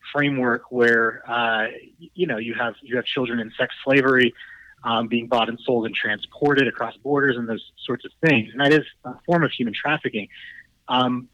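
Man, prosecutor, bright voice, earnest explanatory radio guest, dry sound. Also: mid-range voice, no room sound, muffled recording. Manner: earnest, explanatory, uses fillers.

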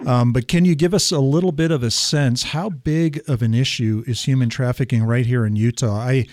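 A man's voice: mid-range voice, a little mellow, dry recording, studio quality. A man hosting a radio interview show, a pastor, with friendly, brief reactions.

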